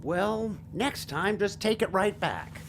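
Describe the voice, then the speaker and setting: Casting: male actor for soft-hearted, unsure family man in an audio drama; lively intonation, slight background noise. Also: high-pitched, takes it slowly, full character voice. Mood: playful, fatherly. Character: gentle, self-doubting married father and fading performer.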